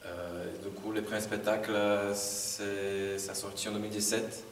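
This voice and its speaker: A man's voice: lowish voice, flat delivery, normal speed, slight background noise. A man from Brazil, speaking French plainly with hesitations. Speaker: circus juggler. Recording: echoey hall, distant mic.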